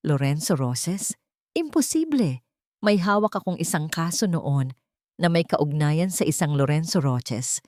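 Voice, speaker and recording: A woman voicing a sharp, principled male lawyer who speaks in a serious, probing and determined way. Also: fairly low pitch, no echo, clean studio sound.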